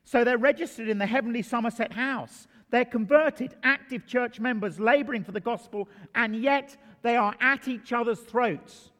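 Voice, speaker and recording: A man's voice: extremely high pitch, normal speed, hardly any pauses, a bit bright. A man preaching a sermon in a church, telling stories and stressing key phrases with emphasis. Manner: storytelling, emphatic. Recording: long hall reverb.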